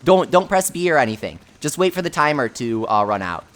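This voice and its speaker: A man hosting a game livestream with a high voice, answering viewer questions in a chatty, offhand way full of fillers. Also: sing-song, fairly quick. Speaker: livestream host. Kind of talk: casual livestream chat, reading viewer questions.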